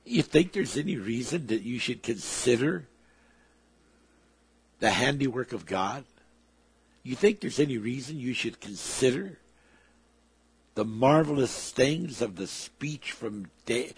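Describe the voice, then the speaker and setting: Man, preacher, gravelly husky voice, slow lively delivery, dry sound. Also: mid-range voice, no room sound. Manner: emphatic, swelling and dropping in volume. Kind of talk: sermon.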